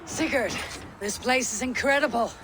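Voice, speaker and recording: A woman playing an earnest, questioning young Viking warrior in a video game, husky and sometimes breathy. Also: a little high, moderate pace, natural voice. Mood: awed. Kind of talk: dramatic video game acting. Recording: noisy ambient background.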